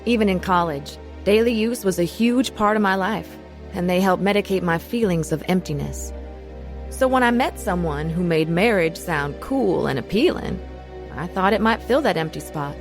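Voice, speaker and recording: A woman's voice: fairly low pitch, lively intonation, moderate pace. An actress playing a battered young mother with a troubled past, weary yet determined, in a radio drama. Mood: regretful. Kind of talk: radio drama narration and acting. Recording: radio drama with music behind.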